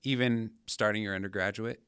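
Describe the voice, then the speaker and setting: A man's voice: fairly low pitch, steady tone, fairly quick, fairly bright tone, smooth, dry recording, clean studio sound. A man hosting a conversational interview, asking questions and commenting informally. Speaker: interviewer, host.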